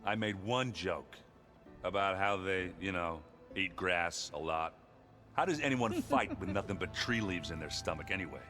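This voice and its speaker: An actor playing a good-natured, ale-loving rogue in a video game, bluff and wry, with a hesitant, rambling way of talking. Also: male voice, low voice, moderate pace, bright, forceful tone, faint music behind. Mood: wry, aggrieved.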